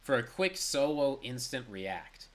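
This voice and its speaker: A man's voice: medium pitch, sing-song, a bit bright. A young man with a stuffy, congested voice, giving a solo reaction and analysis of sports news. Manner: brisk and analytical, with emphatic stress.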